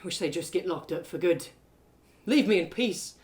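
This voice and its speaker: A woman's voice: on the low side, lively intonation, normal speed, a little mellow, lightly acted. A woman plays a young working man in a storybook audio drama, glum and rueful. He talks plainly, with wounded feelings under a kind nature, and his delivery moves freely up and down. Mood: bitter, sad.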